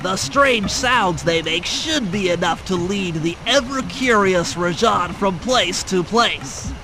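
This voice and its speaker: A nerdy young male cartoon turtle, with a strikingly high, nasal voice. He lays out plans in a precise, wordy and self-assured way, like a game mission briefing. There is clear background noise behind him. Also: lively intonation, takes it slowly. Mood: explaining, precise.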